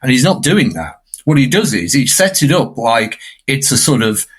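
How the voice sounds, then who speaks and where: A man's voice: medium pitch, big pitch swings, normal speed, breathy edge. Guy on a podcast, medium voice, talking through film and media stuff, emphatic and wordy. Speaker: podcast guest commentator. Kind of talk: discursive film and media discussion.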